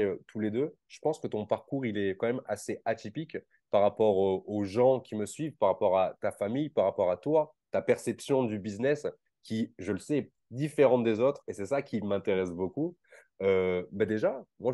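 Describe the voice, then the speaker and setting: A man in his late twenties with a lowish, mellow voice, hosting an interview in an informal, slangy register. The sound is dry and close, like a chat from a bedroom. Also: no echo. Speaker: interviewer and host. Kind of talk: casual video call interview. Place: bedroom at home.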